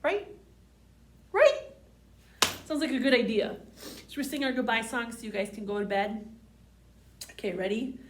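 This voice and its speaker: A woman's voice: fairly high pitch, moderate pace, pauses often, noisy background. A woman reading a storybook aloud to young children as a library storyteller, with a sing-song manner. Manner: sing-song, addressing children with simple questions. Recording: roomy, distant microphone.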